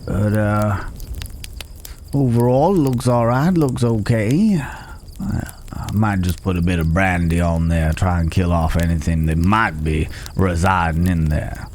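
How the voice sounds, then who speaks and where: A man playing a calm, protective cowboy stranger in an ASMR roleplay, talking in an unhurried, folksy way with hesitant pauses and gentle teasing. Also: low-pitched, slight background noise. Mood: concerned, reassuring. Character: gruff but caring male cowboy stranger, calm and protective. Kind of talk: immersive ASMR roleplay acting. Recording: outdoor night ambience with chirping crickets.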